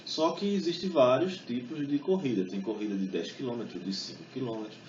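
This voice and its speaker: A young man giving a talk to an audience, speaking in a chatty way with many fillers and quick tag questions. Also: mid-range voice, a little mellow, slight background noise. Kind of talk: public talk with exam study tips. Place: event hall. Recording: distant echoey hall mic, dull sound.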